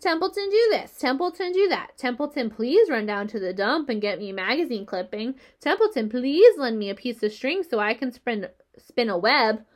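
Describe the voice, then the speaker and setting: A woman's voice: high-pitched, sing-song, moderate pace, hardly any pauses, sharp tone, voice pushed up, heavily acted. A woman voicing a lazy, self-pitying male rat who grumbles and mocks. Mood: sarcastic. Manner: sarcastic, mocking, put-upon.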